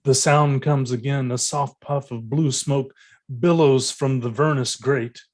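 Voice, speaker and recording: A man's voice: medium pitch, steady tone, unhurried. A man reading stage directions as scripted narration.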